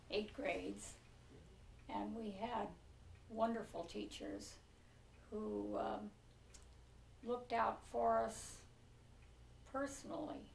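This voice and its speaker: An older American woman in her seventies recalls the past in an interview. Her voice has a slight gravelly creak, and she pauses as she goes. She sounds a little away from the mic in a roomy living room. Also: medium pitch. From Pinole, California. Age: 70s. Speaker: oral history interviewee. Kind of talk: reminiscing in a relaxed interview. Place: living room, on a leather couch. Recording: roomy, distant mic with faint noise.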